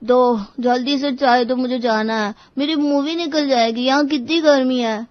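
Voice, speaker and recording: Woman doing a whiny young boy, very high, muffled. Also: moderate pace, no echo, natural voice. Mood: grumbling. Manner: grumbling, protesting. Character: whiny, reluctant young boys sent on errands. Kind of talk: animated moral story dialogue.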